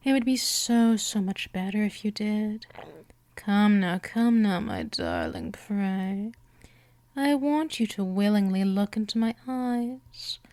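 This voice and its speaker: Slow, seductive woman as a vampire mistress. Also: mid-range voice, a little mellow, dry recording. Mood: coaxing, seductive. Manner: slow, teasing, coaxing, often dropping into breathy whispers. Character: gentle dominant female vampire, seductive, teasing, immortal predator. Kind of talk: scripted hypnosis roleplay, intimate ASMR.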